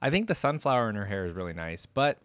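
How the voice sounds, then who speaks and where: A man's voice: fairly low pitch, big pitch swings, quick pace, fairly bright tone, no room sound. A man hosting a reaction video, giving informal, off-the-cuff commentary and thinking aloud. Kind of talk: casual off-the-cuff reaction commentary on game skins.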